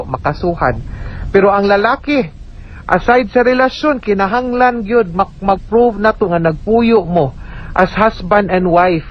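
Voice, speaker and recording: A woman with a Cebuano Filipino accent giving spoken legal advice on the radio, explaining emphatically and checking with tag questions. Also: mid-range voice. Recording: dull, noisy radio recording.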